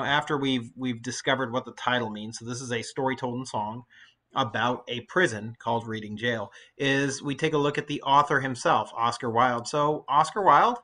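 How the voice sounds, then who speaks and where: A middle-aged man giving an informal English lesson, explaining and reading aloud in a conversational, halting way with asides. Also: mid-range voice, bright, forceful tone. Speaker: high school English teacher.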